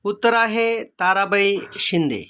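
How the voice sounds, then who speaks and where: A man speaks in a notably high, bright and crisp-edged voice. He works as a quiz teacher, reading questions, options and answers aloud. His delivery is measured and follows a set formula. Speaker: exam-prep quiz teacher.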